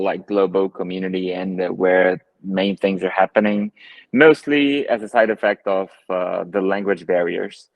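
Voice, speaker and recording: A deep, low-pitched man with a Brazilian Portuguese accent speaks over earbuds on a video call. He is in his thirties and answers thoughtfully, with plenty of fillers and restarts. The sound is dry and close, with no room echo. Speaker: photographer and guest. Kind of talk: casual video call interview. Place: living room at home.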